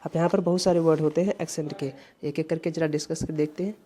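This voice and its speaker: A woman from India teaching English grammar for exams, going through questions one by one and reading each example sentence slowly and deliberately. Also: deep voice, fairly even intonation, mellow, husky.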